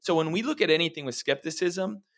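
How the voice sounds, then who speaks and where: A man's voice: a little high, animated, quick pace, fairly bright tone. A man who works as a health coach, chatting casually and reflectively on a podcast while encouraging his listeners.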